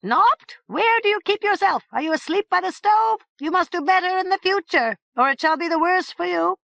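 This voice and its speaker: A boastful male ogre head bellows in a high, lively voice, as a woman reader puts him on. He talks loudly and commandingly, gloating over his guests. The recording is dull and dry. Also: normal speed, gravelly, no room sound, exaggerated voice.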